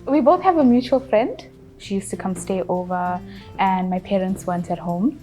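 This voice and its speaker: A woman's voice: mid-range voice, normal speed, mellow, faint music behind, rough recording. A woman in her twenties with a South African accent, casually recounting her own experiences in an interview. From Durban, South Africa. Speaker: interviewee telling her relationship story. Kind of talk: casual personal account.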